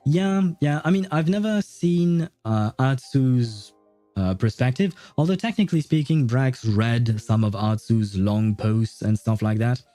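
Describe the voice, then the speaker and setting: Mellow-voiced man chatting on a livestream. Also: mid-range voice, unhurried, dry recording. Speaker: game livestreamer. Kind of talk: casual chat with stream viewers. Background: music.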